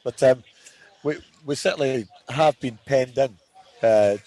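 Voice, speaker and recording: Halting man hosting a live broadcast. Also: medium pitch, mellow. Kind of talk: live outdoor commentary, casual talk.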